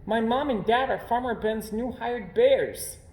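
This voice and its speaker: A man's voice: very high voice, unhurried, a little mellow, pitched above the performer's own voice. A man voicing an easygoing young farm boy bear cub for a children's storybook, simple and guileless. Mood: cheerful. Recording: roomy, echoing home recording.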